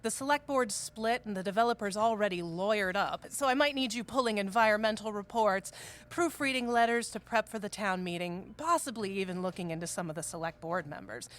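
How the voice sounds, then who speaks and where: A woman's voice: mid-range voice, brisk, natural voice. An actress playing a busy, matter-of-fact female office boss in an audio drama. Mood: businesslike, harried. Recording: faint background ambience noise.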